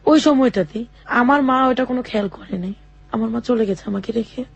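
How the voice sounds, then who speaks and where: A young woman telling her own life story in an interview, speaking haltingly with many fillers and restarts. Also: fairly high pitch, vocal fry, breathy edge, dull audio. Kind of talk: personal testimony in a radio interview.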